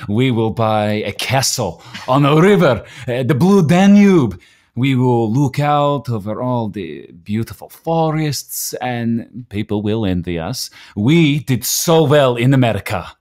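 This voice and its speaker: A man acting in a radio play as a proud, hot-tempered Slovak immigrant husband, with a Slovak accent and halting English. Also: mid-range voice, expressive, a little mellow, natural voice. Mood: boastful, joyful. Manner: halting English, slow and deliberate.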